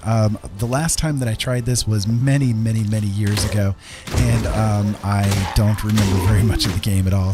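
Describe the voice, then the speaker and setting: A middle-aged man casually commentating as he plays a game live, friendly and rambling, often talking to himself. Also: on the low side. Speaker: game streamer.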